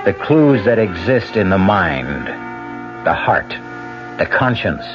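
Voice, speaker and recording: Theatrical radio mystery host. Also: male voice, low-pitched, very animated. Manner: measured and theatrical. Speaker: radio drama host and narrator. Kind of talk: scripted radio narration. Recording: dull old radio broadcast with music.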